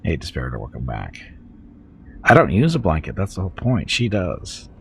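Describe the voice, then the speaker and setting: A man's voice: low voice, huge pitch swings, moderate pace, creaky, fairly bright tone, a little hiss or hum. A man with a stuffy head cold chatting casually with viewers while playing through a video game. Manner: chatty, wry, talks to viewers in chat. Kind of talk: casual livestream chat and reading game text aloud.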